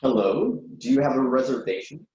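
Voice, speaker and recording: In a roomy, dull recording with faint noise, a man plays a polite young waiter in a stage play reading. His delivery is animated. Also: mid-range voice, fairly quick, natural voice. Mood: polite, attentive. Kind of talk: readers theatre play reading. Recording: roomy, muffled, faintly noisy microphone.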